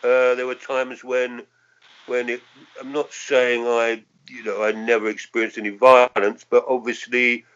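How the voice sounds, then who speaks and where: A man in his sixties with a London accent looks back reflectively in an interview. He feels his way through his thoughts hesitantly and doubles back to qualify them. His voice sits at a medium pitch, and the recording is dull and slightly muffled. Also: flat delivery, a little slow, fairly bright tone. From London, England. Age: 60s. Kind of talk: reminiscing in an oral history interview.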